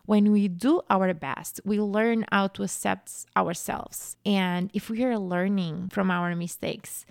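A young woman talks earnestly and reflectively, as a podcast host sharing her thoughts. Her voice carries a gravelly fry at the ends of phrases. The sound is close and dry, with no room around it. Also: medium pitch, slow, few pauses, no echo. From Portugal. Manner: earnest, animated when telling a story. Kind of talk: casual reflective monologue.

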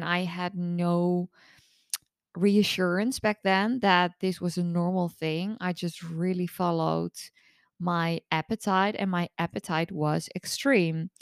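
A hoarse woman in her thirties who has lost her voice, a coach hosting her own podcast in a candid, chatty way. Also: lowish voice, no room sound, studio quality. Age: 30s. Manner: candid, chatty, open-book. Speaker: podcast host and eating disorder recovery coach. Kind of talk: casual solo podcast monologue.

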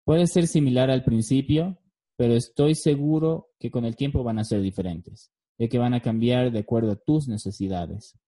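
Male podcast host, thirties, Bolivian accent, extremely soft-edged dark tone, earnest scripted delivery. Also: mid-range voice, normal speed. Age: 30s. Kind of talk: scripted solo podcast narration.

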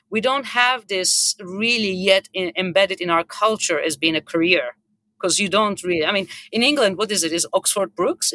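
Middle-aged Turkish-accented woman, bright and forceful, earnest unscripted panel speaker from the hotel business. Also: on the low side. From Turkey.

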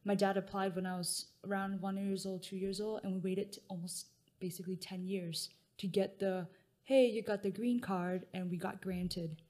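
A young woman from Malaysia answers interview questions in a mid-pitched voice. She speaks plainly and matter-of-factly, pausing on hesitant fillers as she sorts her words. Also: steady tone, a little mellow. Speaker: interview guest. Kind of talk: casual interview.

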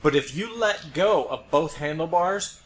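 A man's voice: on the high side, lively intonation, unhurried, a bit bright. A man co-hosting a podcast in casual conversation, telling anecdotes in a wry way. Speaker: podcast co-host. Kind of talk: casual chat. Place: small room, podcast setup. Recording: noisy podcast recording.

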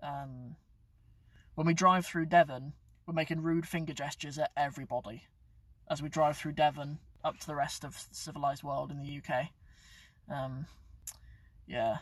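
Guy, young adult, rambling live-stream host, fairly high voice, dry car recording. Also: steady tone, normal speed, pauses often, a bit bright, dry recording, far from the mic. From England. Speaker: YouTube channel host. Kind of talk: casual live-stream chat. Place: inside his parked car.

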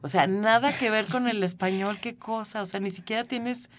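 A woman's voice: mid-range voice, normal speed. A Mexican woman hosting a radio show, interviewing a guest in a friendly, chatty way. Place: radio studio.

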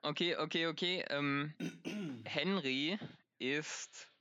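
A man's voice: a little high, slow pace, bright, forceful tone, no room sound, lo-fi sound. A man co-hosting a casual podcast, giggly and hesitant, with lots of fillers. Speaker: podcast co-host.

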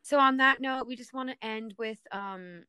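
A woman's voice: on the high side, normal speed, sharp tone, clean tone, no echo. A woman co-hosting a podcast in casual conversation. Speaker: podcast co-host.

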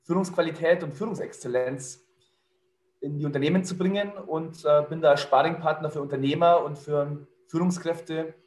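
A man's voice: on the high side, steady tone, moderate pace, darkish tone, reverberant. A middle-aged man, a leadership consultant, talking fluently and in a businesslike way with some fillers. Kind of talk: conversational interview answer.

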